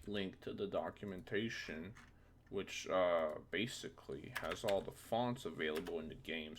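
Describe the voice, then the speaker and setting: Tutorial presenter, male, very low gravelly voice, informal think-aloud delivery, slight background noise and typing. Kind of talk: casual software tutorial narration.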